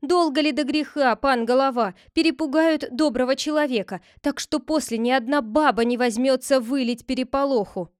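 A woman voicing frightened village watchmen who protest excitedly. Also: high voice, moderate pace, few pauses, dry recording, clean studio sound, voice pushed up, natural voice. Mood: excited complaining.

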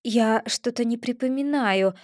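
A woman's voice: a little high, a little slow, darkish tone, dry recording, studio quality, lightly acted. A woman voicing a polite, earnest seven-year-old girl who speaks carefully and apologizes. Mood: puzzled.

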